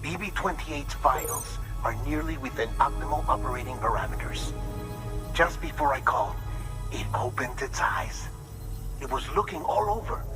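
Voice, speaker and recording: An actor voices a fussy but affable scientist who explains technical details with earnest care. His voice sits at a middle pitch, and clear music plays underneath. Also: lightly acted. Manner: precise technical talk, warm and earnest. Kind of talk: video game cutscene dialogue.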